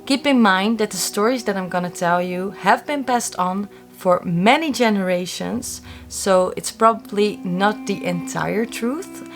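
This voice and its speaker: A woman's voice: mid-range voice, a little slow, faint music behind. Chatty young woman hosting a mystery channel. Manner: friendly, chatty storytelling with wry asides. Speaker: YouTube host of a mystery channel. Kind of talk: casual scripted talk to camera.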